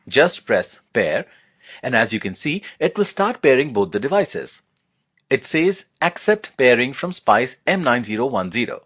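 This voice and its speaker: A man's voice: mid-range voice, big pitch swings, a little slow, a bit bright, husky. A man narrating a scripted product demonstration, explaining things step by step in an instructional manner.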